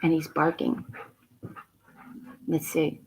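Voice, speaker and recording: A woman reading a picture book aloud to children, pausing with teacherly questions and emphatic asides. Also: deep voice, monotone, a little mellow, a little hiss or hum, rough recording. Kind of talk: read-aloud bedtime story with asides and questions to young viewers.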